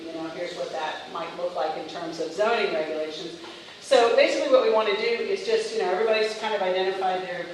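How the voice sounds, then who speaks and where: A county staff facilitator summarizing public feedback at a community meeting, talking loosely with many ums and restarts. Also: medium pitch. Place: large indoor meeting room. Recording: echoey hall, distant mic, faint noise.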